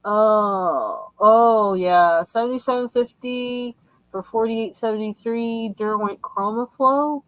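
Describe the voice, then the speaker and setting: Guest: woman, mid-pitched, unhurried, close dry sound, easygoing short replies. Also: no room sound, low-quality recording. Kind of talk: casual livestream chat.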